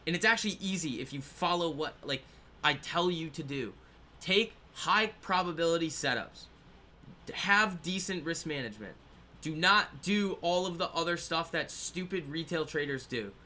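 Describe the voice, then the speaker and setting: A man's voice: on the high side, lively intonation, normal speed, sharp tone, a little hiss or hum. A man in his twenties hosting a casual trading livestream, rambling and laughing as he reads viewer comments aloud. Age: 20s.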